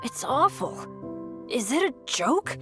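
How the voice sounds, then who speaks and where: Excitable, earnest youth for a video game: high pitch, lively swings, heavy music underneath. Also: female voice, slow pace, heavily acted. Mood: thoughtful, concerned. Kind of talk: English video game voice acting. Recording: very loud music behind voice.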